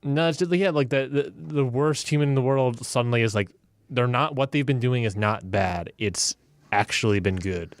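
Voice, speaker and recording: A man's voice: mid-range voice, moderate pace, no echo, studio quality. A man chatting casually as a player in a tabletop game, rambling and repeating words as he works out his thoughts. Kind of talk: casual chat about his character.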